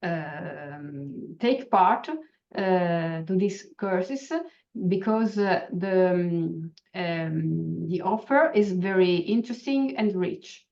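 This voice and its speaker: An Italian-accented woman finishes her talk at an online meeting, speaking very slowly with many fillers. She sounds far from the mic, and the call audio is dull. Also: fairly low pitch, vocal fry, darkish tone.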